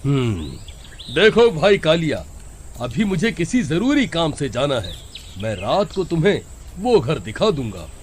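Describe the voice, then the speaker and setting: A man's voice: on the high side, sing-song, measured pace, a little hiss or hum. A man voicing a sly, calculating landlord in a cartoon story. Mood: smooth persuasion. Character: sly, scheming male landlord. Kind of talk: cartoon voice acting.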